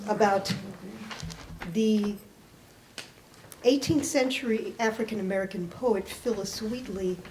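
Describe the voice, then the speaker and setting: A woman poet presenting and reading their work aloud to a live library audience, with careful, deliberate delivery. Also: a little high, a little mellow, a little hiss or hum. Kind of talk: dramatic poetry reading with spoken introduction. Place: indoor library reading event. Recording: roomy, echoing live event recording.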